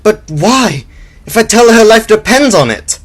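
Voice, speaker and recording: A man's voice: high-pitched, big pitch swings, moderate pace. A young man in a radio drama playing an anxious, devoted young lover, speaking earnestly and pleadingly. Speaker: actor in a school radio drama. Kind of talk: theatrical radio drama acting.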